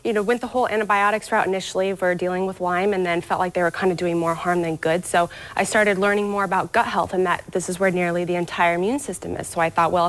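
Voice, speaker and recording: A woman's voice: lowish voice, fairly bright tone, poor audio. A woman guest on a TV lifestyle show, upbeat and explanatory as she walks through health tips in a studio. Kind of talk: TV morning show interview.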